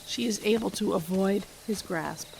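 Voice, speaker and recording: A woman's voice: mid-range voice, moderate pace, vocal fry, darkish tone, low-quality recording. A woman podcast host reading her own script aloud, stage directions and all. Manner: reads stage directions steadily. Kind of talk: script read-aloud narration.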